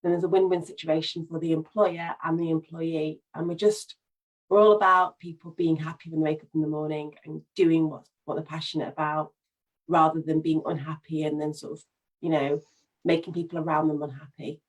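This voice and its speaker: A woman with a lowish, fairly flat voice introduces her work on a video call. She talks in a steady, measured way, like a casual round of introductions. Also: low-quality recording. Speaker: business owner and life coach. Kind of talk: casual introduction in an online meeting.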